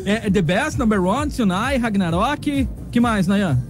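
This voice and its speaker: A man hosting a radio show in a studio, teasing his co-hosts in quick, playful banter. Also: high-pitched, lively intonation, loud music behind the voice.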